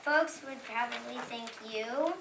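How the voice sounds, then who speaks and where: Lady reading as a cheeky storybook wolf making excuses, high voice, noisy far-off mic. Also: measured pace, vocal fry. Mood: defensive. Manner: defensive, self-justifying storytelling. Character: aggrieved, cheeky storybook wolf pleading his innocence. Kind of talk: readers' theater stage reading. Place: library room, distant microphone. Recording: echoey, noisy room from far off.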